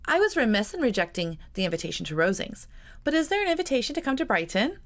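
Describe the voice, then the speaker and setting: A woman's voice: on the high side, big pitch swings, fast, pitched above the performer's own voice. A woman doing a sly, coaxing teenage girl. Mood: pleading. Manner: coaxing, sweetly insistent. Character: eager sixteen-year-old girl, sly and pleading.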